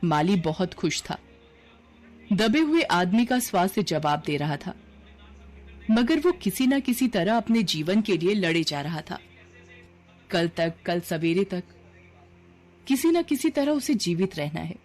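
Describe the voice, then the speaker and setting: A woman's voice: lowish voice, big pitch swings, a little slow, pauses often, a little mellow, soft background music. A woman narrator reading a scripted story for a cartoon video.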